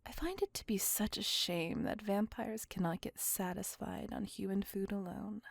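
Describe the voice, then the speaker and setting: A woman playing a courteous, melancholy vampire on a date in an intimate ASMR roleplay, speaking softly and formally in a breathy, whispery voice, tender and wistful. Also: medium pitch, moderate pace, dry recording, studio quality. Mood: gracious, gentle.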